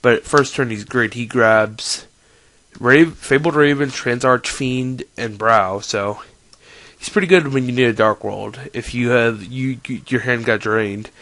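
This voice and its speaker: A man's voice: medium pitch, a little slow, fairly bright tone, no echo. Stuffed-up young man explaining cards. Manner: rambling, self-correcting. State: stuffy nose from a cold. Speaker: card game player. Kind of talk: casual deck explanation.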